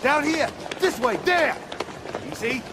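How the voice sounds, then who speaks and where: An actor playing an alarmed police officer in a video game, calling out urgently. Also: male voice, extremely high pitch, lively intonation, takes it slowly, exaggerated voice. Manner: urgent, shouting out. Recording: noisy ambient background.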